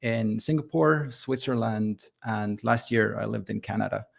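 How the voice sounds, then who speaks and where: A man's voice: lowish voice, steady tone, a little slow, vocal fry, husky. A man from Estonia with a light Estonian accent, speaking as a podcast guest in a casual, hesitant way with many fillers and restarts. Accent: Estonian, softened by living abroad. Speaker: podcast guest, software founder. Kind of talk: casual interview talk.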